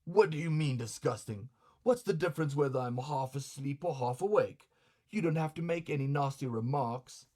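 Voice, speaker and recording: A man's voice: medium pitch, normal speed, dark tone, close to an everyday voice. A man playing a grumbling, hot-tempered, vain male customer in a comic story, blustering with sarcastic, colloquial indignation. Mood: scornful, irritated.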